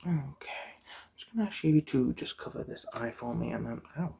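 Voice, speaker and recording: A soft-spoken ASMR performer role-playing an eye examiner, murmuring and often whispering in an unhurried, chatty, polite clinical patter with a breathy, husky voice. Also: mid-range voice, expressive.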